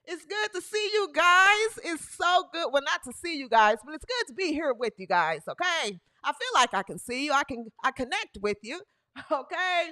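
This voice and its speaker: Female host, 30s, bright and sharp tone, playful live-stream energy with shouted bursts. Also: high voice, animated, moderate pace. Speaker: podcast host. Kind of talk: live-stream sports talk chat.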